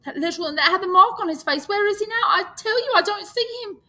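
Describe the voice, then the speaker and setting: A woman's voice: medium pitch, expressive, normal speed, bright, forceful tone, voice pushed up. A woman playing an indignant, fretful schoolboy. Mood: frightened. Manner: indignant, pleading, breathless outbursts.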